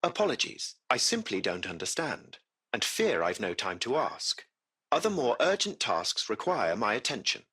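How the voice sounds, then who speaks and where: A man's voice: mid-range voice, huge pitch swings, moderate pace, pushed and bright, slightly rough, exaggerated voice. A man playing a courteous, formal AI taxi-service machine in a video game, with precise, butler-like phrasing. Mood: apologetic. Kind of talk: scripted video game voice acting.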